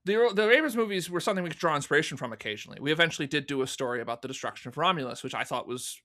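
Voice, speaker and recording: Man, slightly high pitch, bright tone, interview guest, conversational storytelling, lively quoting of past remarks. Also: rapid-fire. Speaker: guest, fan audio drama producer.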